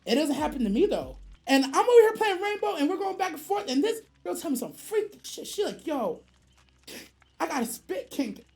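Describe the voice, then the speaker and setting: A woman's voice: high-pitched, very animated, normal speed, a little mellow. A woman streamer telling a casual story in profane slang, acting out both sides of the dialogue.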